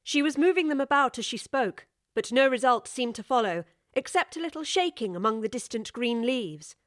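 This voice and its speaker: A woman reading a storybook as audiobook narrator, in a measured, expressive way. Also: a little high, dry recording, clean studio sound.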